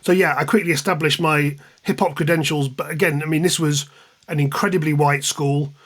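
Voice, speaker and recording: A man's voice: on the high side, fairly even intonation, fairly quick, a bit bright. A man in his fifties with an English accent, chatting anecdotally and with self-deprecation as an interview guest. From England, East Midlands. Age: 50s. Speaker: guest, music journalist and author. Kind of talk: casual reminiscing in an interview.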